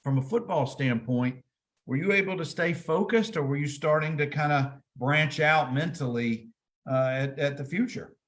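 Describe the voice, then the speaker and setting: A man's voice: fairly high pitch, moderate pace. A man hosting a sports interview podcast, himself a former college player, asking long conversational questions.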